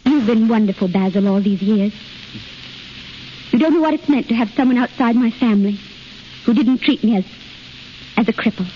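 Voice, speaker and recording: A woman's voice: fairly high pitch, normal speed, long pauses, close to an everyday voice. An actress playing a proud, guarded, wealthy young lady with refined, dramatic 1930s radio delivery. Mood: tender, sad. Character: proud, wealthy, lame doctor's daughter, guarded. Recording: muffled 1930s radio, faint static.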